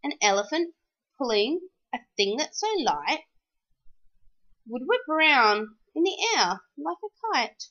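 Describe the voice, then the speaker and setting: Female storyteller for picture-book verse: high voice, very lively sing-song delivery, dull lo-fi sound. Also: measured pace. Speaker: storyteller reading a children's picture book aloud. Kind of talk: expressive children's storybook read-aloud.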